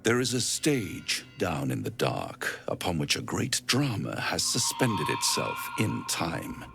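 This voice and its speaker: An actor playing an urbane, scheming devil in a video game, speaking in a theatrical, grandiloquent and menacing manner. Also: male voice, sits low, wildly swooping pitch, slow pace, vocal fry, music in the background, exaggerated voice. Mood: sly, theatrical. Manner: theatrical, silky, verse-quoting, grandiloquent. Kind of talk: video game dramatic acting.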